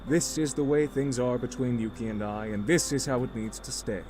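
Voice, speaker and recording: An actor playing an easygoing middle-aged uncle in a visual novel game, fond and teasing, with a measured, wry delivery. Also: male voice, medium pitch, dark tone, a little hiss or hum, natural voice. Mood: earnest, wistful.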